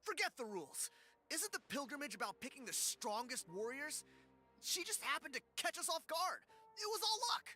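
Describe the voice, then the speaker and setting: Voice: sits high, big pitch swings, moderate pace, bright, forceful tone, soft background music, exaggerated voice. An actor voicing an aggrieved, cocky young warrior in an anime-style video game. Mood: bitter, confrontational.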